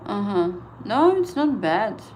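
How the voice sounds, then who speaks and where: A woman in her thirties, a native Estonian speaker with an Estonian accent, hosting a casual trading livestream and chatting with viewers in halting English full of fillers. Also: medium pitch, big pitch swings, darkish tone, slight background noise. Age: 30s.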